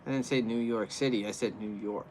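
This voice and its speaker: A man's voice: fairly low pitch, fairly even intonation, a little fast, darkish tone, slight background noise, low-quality recording. An American man hosting a livestream, answering viewer comments in a rambling, casual way full of fillers and restarts. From USA. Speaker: livestream host.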